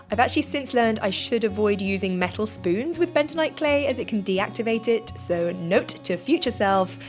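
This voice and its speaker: Woman, slightly croaky, chatty self-deprecating vlogger, faint music underneath. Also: medium pitch. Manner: cheerful, self-deprecating, conversational. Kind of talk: chatty sponsored how-to vlog.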